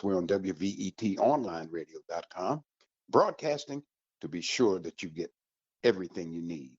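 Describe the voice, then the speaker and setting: A man's voice: mid-range voice, darkish tone, breathy edge, no room sound, highs cut off. A man hosting a community radio show for veterans, talking in a folksy, upbeat promotional way. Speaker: radio host.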